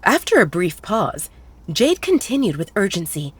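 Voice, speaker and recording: A woman's voice: mid-range voice, very animated, normal speed, breathy edge. A woman narrating a werewolf romance audio drama in a scripted storytelling style.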